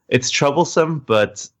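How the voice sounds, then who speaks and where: A man co-hosting a podcast, explaining tech in a casual, conversational way, step by step. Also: medium pitch. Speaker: podcast co-host.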